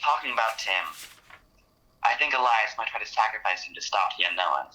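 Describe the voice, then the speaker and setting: A man's voice: lowish voice, expressive, brisk, pushed and bright, poor audio. A man playing a blunt, teasing, pragmatic researcher with a dry delivery, in a naturalistic conversational audio drama. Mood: probing, serious.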